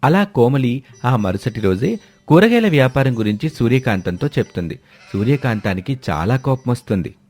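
A man narrating an animated moral story in a steady storytelling manner. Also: medium pitch, normal speed.

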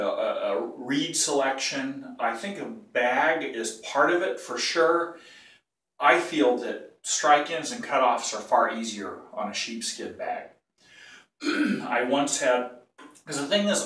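A man's voice: medium pitch, animated, slow pace, fairly bright tone, recorded from a distance. Older man with a cold, echoey bathroom. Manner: rambling, self-correcting, throat-clearing. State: has a cold, clearing throat. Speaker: bagpipe teacher. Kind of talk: casual tutorial. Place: small tiled bathroom. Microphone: phone or camera some feet away.

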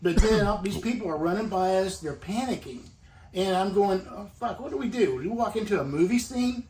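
An older man with a fairly high voice tells his story in a rambling way, full of fillers. He sits back from the mic in a roomy home room. Faint noise hums under the clip. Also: animated, a little fast, a little mellow. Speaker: eyewitness interviewee. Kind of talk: recounting a personal story. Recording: roomy, distant, faintly noisy video clip.

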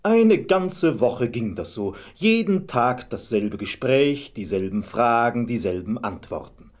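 A man with a husky voice narrating a scripted fairy tale as a storyteller. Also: medium pitch, big pitch swings, a little slow.

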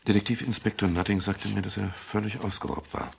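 Gravelly, very deep world-weary private detective. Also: male voice, a little fast. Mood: matter-of-fact. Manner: dry, sardonic, understated. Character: world-weary male private detective, dryly wry, narrating his case. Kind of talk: 1970s German radio crime drama acting and narration. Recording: faint hiss of 1970s radio production.

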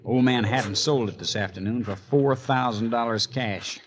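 An actor playing a scheming young outlaw who gives curt orders in a 1950s radio drama. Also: male voice, medium pitch, normal speed, natural voice. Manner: bossy, curt. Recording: noisy old radio broadcast.

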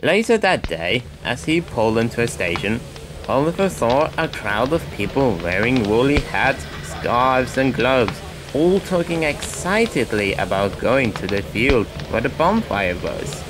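A man reads a children's storybook aloud. His delivery is animated, with a very varied pitch, and he takes his time over each phrase. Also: measured pace, music in the background. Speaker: storybook narrator. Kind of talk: expressive scripted children's story narration.

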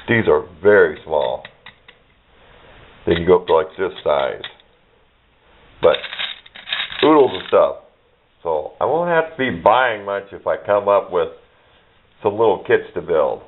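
Dull narrow camera-mic sound, middle-aged man, very low voice, folksy, rambling, casual hobbyist show-and-tell. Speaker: hobbyist showing his radio parts collection.

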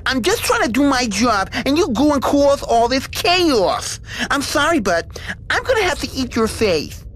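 A polite face-eating monster. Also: male voice, very high-pitched, sing-song, measured pace, fairly bright tone, heavily acted. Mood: apologetic. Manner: mock-sincere, bouncy delivery. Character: polite monstrous male mutant, apologetic, hungry.

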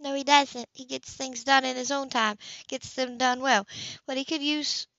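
Supportive, pushy female friend for an audiobook played by a woman reader, raised pitch, dull lo-fi sound. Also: little pitch movement, moderate pace, fairly bright tone, dry recording. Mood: emphatic, supportive.

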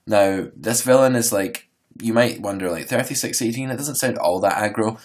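Poker coach, male, hoarse today, very low voice, loose rambling solo podcast delivery. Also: moderate pace. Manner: relaxed, rambling, filler-heavy explaining.

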